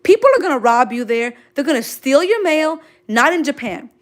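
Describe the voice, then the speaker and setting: New girl, real high voice, super gushy, just chatting w her viewers on a livestream. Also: expressive, moderate pace. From Queens, New York City, United States. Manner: gushing, effusive, sprinkled with exclamations. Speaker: manga artist hosting a drawing livestream. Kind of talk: casual livestream chat, answering viewer comments.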